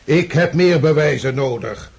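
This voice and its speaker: An actor playing an old, patient, weary Russian supreme commander in a radio drama, speaking deliberately with a husky, breathy voice. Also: male voice, fairly high pitch, a little mellow. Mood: patient, admonishing. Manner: deliberate, aphoristic, admonishing.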